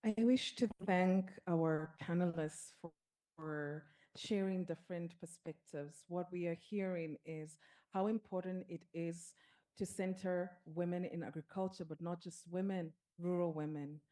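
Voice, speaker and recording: A woman with a low, mellow voice sums up a panel discussion. She speaks steadily and returns to the same framing phrase for each point. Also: measured pace. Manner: steady, repetitive summing up. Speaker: panel moderator. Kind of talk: formal closing remarks.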